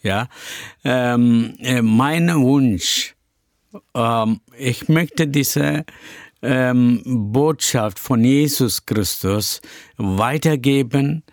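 Middle-aged man with an Indian accent, a pastor; slow and hesitant delivery, gravelly tone. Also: medium pitch, dry recording, clean studio sound. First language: Tamil. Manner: halting, frequent hesitations and broken grammar. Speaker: pastor and church planter. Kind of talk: interview answers.

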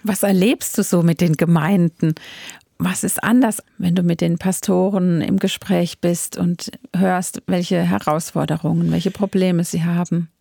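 A woman who interviews her guest with clear, composed questions. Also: lowish voice, steady tone, measured pace, warm tone, no room sound, studio quality.

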